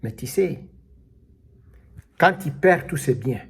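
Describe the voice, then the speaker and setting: A man preaching a sermon, slow and emphatic, calling out alleluia as he explains scripture. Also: on the high side, animated, gravelly, slightly rough. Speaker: pastor.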